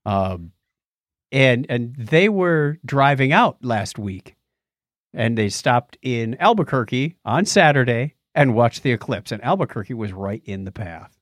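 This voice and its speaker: A man's voice: medium pitch, animated, unhurried, no room sound, studio quality. A middle-aged man with an American accent hosting a podcast, telling anecdotes in a casual, chatty way. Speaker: podcast host.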